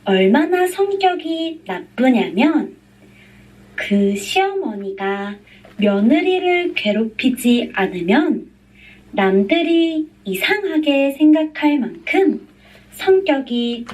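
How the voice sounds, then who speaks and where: Female learner-audio narrator, higher pitch, very lively intonation, scripted read, distant speaker playback. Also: very fast. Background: recorded audio played back during a review.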